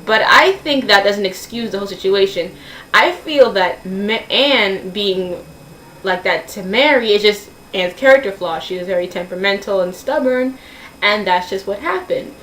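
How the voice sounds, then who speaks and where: A woman giving her opinion in a casual vlog, earnest and opinionated, halting and correcting herself as she goes. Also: mid-range voice, animated, fairly bright tone. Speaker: vlogger posing opinion questions to viewers. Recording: roomy echo, distant mic, faint hiss.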